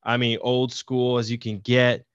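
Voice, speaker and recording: A man's voice: medium pitch, monotone, measured pace, clean tone, no room sound. Middle-aged man from Puerto Rico, guest, loose rambling storyteller; dull, dry video-call sound. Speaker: podcast guest. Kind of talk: casual interview chat.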